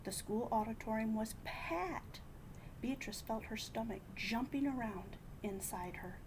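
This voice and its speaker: A woman reading a children's picture book aloud in an animated, deliberate way, with dramatic hushes and emphasis. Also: on the high side, background noise. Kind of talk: expressive read-aloud storytelling.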